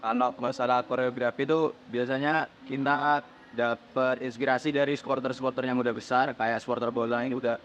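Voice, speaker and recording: A man's voice: mid-range voice, little pitch movement, a little fast, a bit bright. A teenage young man, answering casual interview questions in a plain, step-by-step explanatory way. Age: teens. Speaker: podcast guest, student supporters' club leader. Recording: dull lo-fi audio, faint background noise.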